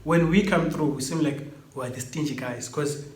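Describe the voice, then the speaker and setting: A man's voice: a little high, normal speed, a little mellow. A young adult man from Zimbabwe with a Zimbabwean accent, a native Ndebele speaker, chatting casually as a guest and laughing easily. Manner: easygoing, laughs often. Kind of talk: casual chat. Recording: echoey room, faint background noise.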